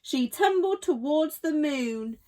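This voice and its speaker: A woman storyteller reads a bedtime tale for children. Her voice is high and highly animated, with an unhurried pace. She leans on key words and pauses for drama.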